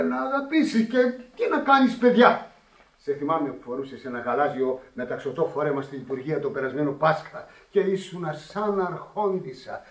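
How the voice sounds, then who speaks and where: A scolding parish priest in a radio play. His voice is high for a man, formal and emphatic as he admonishes. It sounds echoey and set well back from the microphone. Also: fairly bright tone, far from the mic. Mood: reproachful, pitying. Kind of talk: radio drama acting.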